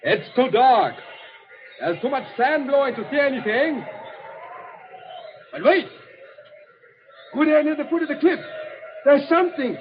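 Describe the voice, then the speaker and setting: Shrill, panicky Nazi soldier. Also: male voice, expressive, measured pace, pauses often, soft background music. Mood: terrified. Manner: high, frantic exclaiming. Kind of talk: 1940s radio acting. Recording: dull old radio recording.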